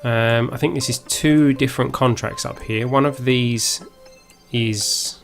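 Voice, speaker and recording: A man with a southern English accent commentates live on his own gameplay. His voice sits at a medium pitch. He talks casually and off the cuff, half to himself, over music. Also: fairly even intonation, measured pace. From Essex, England. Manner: unscripted, muttering to himself as he plays. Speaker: live streamer, gaming host.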